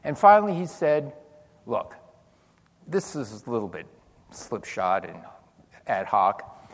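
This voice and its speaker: A man's voice: mid-range voice, slow pace, creaky. Older man lecturing in a big hall. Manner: animated storytelling with dry humor. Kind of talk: physics lecture to an audience. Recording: long hall reverb.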